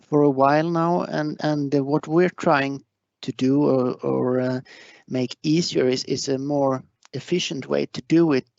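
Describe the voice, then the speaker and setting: A man with a Swedish accent giving a technical online presentation, halting and hesitant, often repeating words as he goes. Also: mid-range voice, fairly even intonation, vocal fry, a little mellow, no echo. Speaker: conference presenter. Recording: muffled online webinar audio.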